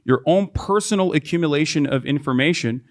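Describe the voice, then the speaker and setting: A man from Turkey argues his case in steps, carefully and without hurry. His voice sits a touch high. He keeps asking to finish his point. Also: fairly quick. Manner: methodical, builds logical steps, asks to finish. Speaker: debate opponent in a played clip. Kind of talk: measured debate argument.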